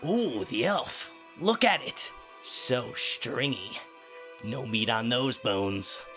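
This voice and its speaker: A man voicing a hungry, gleeful goblin jailer who leers over a prisoner in drawn-out words. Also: medium pitch, very animated, a bit bright, faint music behind. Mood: gloating.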